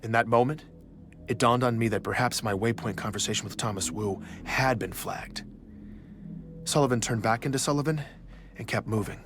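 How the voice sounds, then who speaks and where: A man's voice: mid-range voice, moderate pace, a bit bright, soft background music, lightly acted. An actor playing an investigative journalist, narrating his own story in an audio drama with a dry, confiding manner. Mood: measured, ominous. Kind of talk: first-person scripted narration for audio drama.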